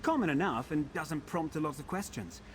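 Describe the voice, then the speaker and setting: Man playing a high-voiced, put-upon pampered defector. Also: expressive, normal speed, faint music behind, natural voice. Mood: wry, musing. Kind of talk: video game character dialogue.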